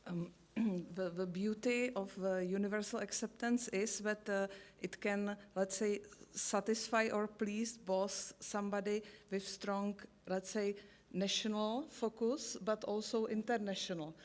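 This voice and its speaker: Woman panelist, flat even pitch, hesitant, searches for words. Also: measured pace. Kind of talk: panel discussion.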